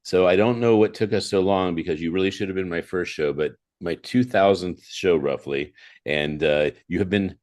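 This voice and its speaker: Very deep-voiced male talk show host. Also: normal speed, darkish tone. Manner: effusive, repeats phrases for emphasis. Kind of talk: conversational interview hosting.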